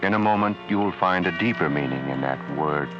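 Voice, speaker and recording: A man narrating a vintage radio horror drama in a deliberate, ominous manner. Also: sits low. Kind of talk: 1940s radio announcing. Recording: dull old radio broadcast with music.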